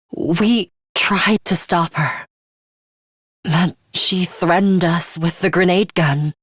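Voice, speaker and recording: Stern, measured young woman fighter. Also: low voice, slightly rough, no room sound, studio quality, exaggerated voice. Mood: somber, subdued. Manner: clipped, formal, matter-of-fact delivery. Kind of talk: English video game voice acting.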